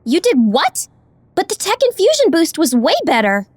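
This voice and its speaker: Audiobook role: concerned young woman, soft-hearted and hesitant, high voice, very dry recording. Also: wildly swooping pitch, normal speed, dry recording, heavily acted. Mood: shocked. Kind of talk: audiobook drama acting.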